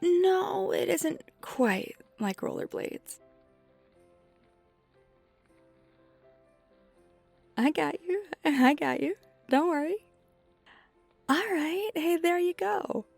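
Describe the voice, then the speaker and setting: A woman playing a flirty, friendly vampire in an ASMR roleplay, speaking softly and reassuringly with a breathy, near-whispered delivery. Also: very high voice, lively intonation, slow pace, dry recording, heavily acted. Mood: encouraging. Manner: soft-spoken, gently reassuring, often breathy near-whisper. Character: flirty friendly female vampire teaching ice skating. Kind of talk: ASMR roleplay, one side of a conversation. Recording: music behind the voice.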